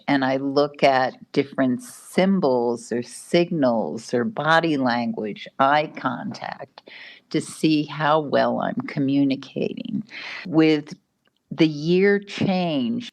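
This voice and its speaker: A middle-aged woman astrologer giving a casual weekly forecast, talking in an unhurried, rambling way with restarts and soft laughs. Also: a bass voice. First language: not Spanish (lives in Mexico).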